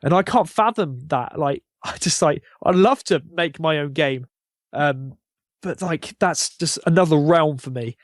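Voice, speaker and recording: Guy chatting away, slightly high voice, super effusive, dry close recording. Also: a little slow, no room sound. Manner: effusive, emphatic, wordy. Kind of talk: casual enthusiastic chat.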